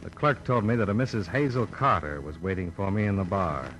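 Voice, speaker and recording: An American actor playing a cool, persistent insurance investigator, dry and matter-of-fact, in an old radio noir drama. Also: male voice, deep voice, fairly quick, a bit bright. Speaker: narrator and lead actor. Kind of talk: 1940s-50s radio noir, first-person narration and dialogue. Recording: very noisy old radio recording.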